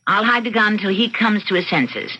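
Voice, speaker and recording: An actress playing a cool, imperious, calculating wealthy wife in an old-time radio mystery. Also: female voice, mid-range voice, moderate pace, bright, forceful tone. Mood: poised, concerned. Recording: dull lo-fi radio drama, faint noise.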